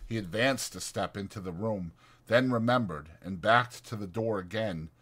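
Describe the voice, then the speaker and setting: A man's voice: fairly low pitch, moderate pace, a bit bright, slightly rough. A man reading a novel aloud as scripted, dramatized audiobook narration.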